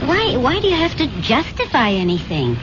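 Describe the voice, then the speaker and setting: An actress playing a supportive, gently chiding wife in a 1970s radio drama, affectionate and teasing. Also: female voice, high-pitched, wildly swooping pitch, normal speed. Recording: noisy, muffled 1970s radio drama recording.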